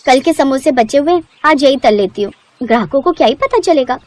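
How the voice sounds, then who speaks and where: A woman's voice: sits high, expressive, fairly quick, darkish tone. A woman voicing a greedy, dishonest young street-food seller with a scheming, self-satisfied manner in a moral story.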